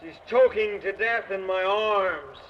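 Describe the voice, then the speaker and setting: An actor playing a mocking, cackling, murderous ghost in an old-time radio horror drama. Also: male voice, high voice. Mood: cruel gloating. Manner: slow, drawn-out, mocking, cackling. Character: taunting murderous ghost luring a man upstairs, gleefully cruel. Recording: muffled, noisy old radio drama recording.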